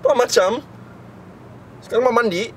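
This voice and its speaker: A man voicing an excitable, boastful man who banters in rapid, repetitive bursts. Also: very high-pitched, voice pushed up. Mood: excited, playful.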